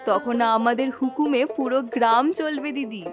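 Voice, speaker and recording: A ruthless, greedy village wife plotting in a cartoon melodrama, acted by a woman. Her voice is high, and plucked-string music plays clearly behind her. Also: moderate pace, lightly acted. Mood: scheming.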